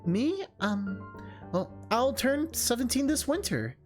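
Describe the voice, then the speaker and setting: Visual novel teen boy, acted by a streamer: friendly, curious, earnest, higher-pitched, over background music. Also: big pitch swings, takes it slowly. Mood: hesitant. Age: seventeen-year-old figure. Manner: earnest, eager. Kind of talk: visual novel character read aloud.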